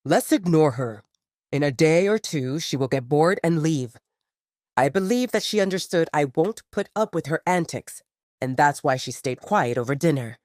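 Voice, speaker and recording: A woman voicing a commanding, irritated young male pack leader in an audio drama, speaking with measured authority. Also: low-pitched, expressive, darkish tone, no room sound, studio quality. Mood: firm, measured. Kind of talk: audio drama narration dialogue.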